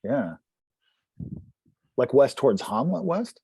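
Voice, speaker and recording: A man's voice: mid-range voice, very animated, slow pace, creaky, dark tone, husky, no room sound. A man chatting casually and in slang as a player in a tabletop game.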